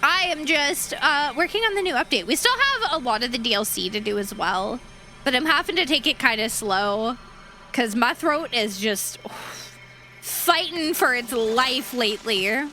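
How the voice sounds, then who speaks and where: A bubbly woman streamer chats with her viewers from her home setup, sweet and quick to thank them. Her voice is high, lively and bright, though it is going hoarse. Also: slight background noise. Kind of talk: casual live-stream chat while gaming.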